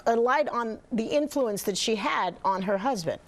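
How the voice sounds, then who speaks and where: A woman's voice: on the high side, animated, moderate pace. A woman news anchor presenting and asking questions in a broadcast interview.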